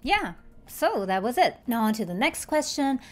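A woman's voice: a little high, very animated, moderate pace, darkish tone. Woman, thirties, from Germany, casual vlog talk, chatty, explains step by step, desk microphone, living room. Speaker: YouTuber. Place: bright living room indoors. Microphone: desk microphone in front.

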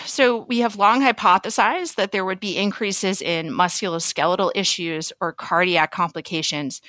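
Female expert guest for a medical podcast: explanatory, articulate, medium pitch. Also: a bit bright, no room sound.

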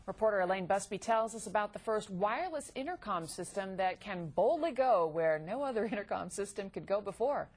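A woman's voice: mid-range voice, animated, brisk, few pauses, darkish tone, husky. A woman presenting a scripted TV program introduction.

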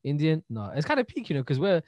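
A man's voice: fairly high pitch, wildly swooping pitch, quick pace, no echo. A man in his twenties with a London accent, chatting and telling stories in slangy speech as a podcast co-host. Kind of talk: casual chat, storytelling. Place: indoors, remote video call.

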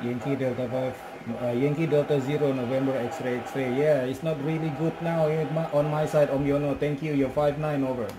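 A man's voice: medium pitch, fairly even intonation, normal speed. A man working as an amateur radio operator, making clipped callsign exchanges in phonetic spelling. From Indonesia. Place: small indoor radio desk. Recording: loud radio static and noise. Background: radio noise.

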